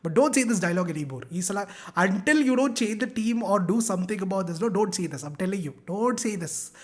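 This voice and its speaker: A man's voice: sits high, expressive, quick pace. A young man from India teaching a live online science class, chatty and upbeat, bantering with his students. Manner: upbeat, banters with students, code-switching slang.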